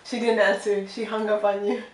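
A woman's voice: medium pitch, little pitch movement, fairly quick. A young woman chatting casually and playfully in a vlog. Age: young adult. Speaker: vlogger. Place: bedroom, indoors. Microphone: camera a few feet away. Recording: roomy, distant from camera mic.

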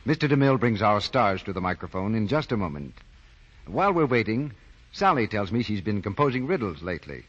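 A man's voice: fairly low pitch, sing-song, fairly quick, a faint crowd, slight background noise. A man announcing a 1940s radio commercial in a polished, persuasive way. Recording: muffled 1940s radio recording.

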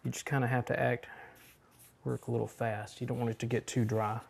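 A middle-aged man walks viewers through a recipe in his home kitchen, casual and easygoing. His voice sits at an ordinary pitch for a man, with plain, unhurried instructional phrasing. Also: vocal fry, husky. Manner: relaxed step-by-step instruction with fillers. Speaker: cooking show host. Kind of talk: home cooking demonstration.

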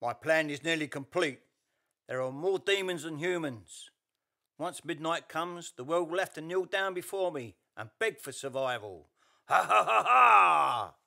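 A man playing a gloating, grandiose evil ghost overlord in a radio play, with a harsh, creaky, theatrical delivery. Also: a little high, animated, sharp tone, heavily acted. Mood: gloating menace. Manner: slow, theatrical villainous gloating. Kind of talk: radio play villain acting.

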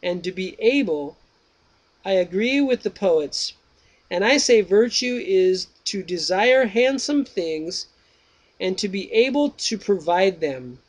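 A man reading aloud the lines of Menon, an eager young pupil, in a slow, earnest way. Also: high voice, lively intonation, pitched above the performer's own voice, full character voice, poor audio.

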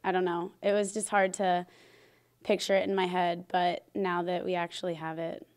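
A college softball player in her twenties, talking earnestly in an interview with a handheld mic in a locker room. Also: fairly low pitch, moderate pace, smooth. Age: 20s. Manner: earnest, excited. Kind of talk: interview soundbite. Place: team locker room, indoors.

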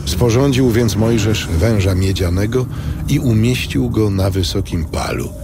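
A man's voice: lowish voice, expressive, unhurried, creaky, background noise. A man narrating scripture from a script in a solemn storytelling style. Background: music.

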